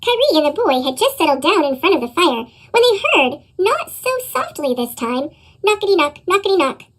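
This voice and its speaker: A woman reading a children's story aloud through a high, sped-up chipmunk voice effect. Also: sing-song, fairly quick. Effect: sped-up chipmunk pitch effect. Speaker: storybook reader. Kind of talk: children's read-aloud narration.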